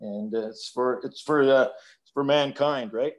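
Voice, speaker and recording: An older man talks casually as a podcast guest at a middling pitch. He rambles with lots of "you know"s and restarts, and he often pokes fun at himself. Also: unhurried. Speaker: podcast guest, hobby builder. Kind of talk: casual interview chat.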